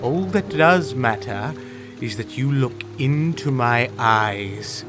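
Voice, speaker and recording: An actor playing a mysterious hypnotic villain in an audio drama, speaking deliberately and commanding obedience. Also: male voice, medium pitch, expressive, loud music behind the voice. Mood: controlled, menacing.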